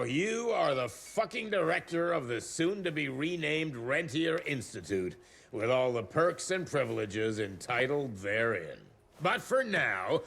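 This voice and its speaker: Sneering foul-mouthed boss, yelling, voice swinging all over. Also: male voice, mid-range voice, heavily acted. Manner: shouting, abusive, sneering. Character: foul-mouthed pompous male government secretary, bullying. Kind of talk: video game cutscene acting.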